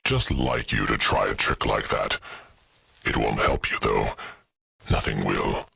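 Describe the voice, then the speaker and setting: Extremely deep, gravelly monstrous enforcer. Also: male voice, sharp tone, dry recording, heavily acted. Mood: cold menace. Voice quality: gravelly, growling. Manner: slow, menacing, contemptuous. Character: huge menacing mutant government enforcer, brutal and contemptuous. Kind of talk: scripted video game voice acting.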